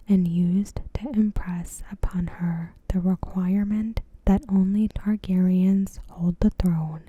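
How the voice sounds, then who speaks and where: Slow breathy whispering woman, ASMR. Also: on the low side, no echo. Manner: measured, deliberate, hushed. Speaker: ASMR creator reviewing a TV episode. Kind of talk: ASMR, soft scripted commentary. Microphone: close microphone in a dry space.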